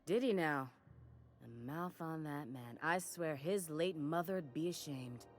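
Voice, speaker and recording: An actress playing a folksy, brisk woman mechanic in a video game, with slangy, drily complaining talk. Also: low-pitched, soft background music. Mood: exasperated. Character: folksy, brisk woman cosmetic mechanic aboard a space station. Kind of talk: video game character dialogue.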